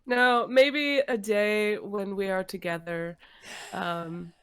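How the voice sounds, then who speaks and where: Young female podcast co-host, smoky jazzy voice, slow and smooth. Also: medium pitch, fairly even intonation, no room sound. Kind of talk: casual podcast chat.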